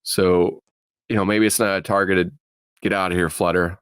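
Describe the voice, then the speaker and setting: A man presenting tech news with his own opinions as a podcast panelist, in a halting way with repeated phrases. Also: low voice, flat delivery, a bit bright, clear, resonant tone, no echo, clean studio sound.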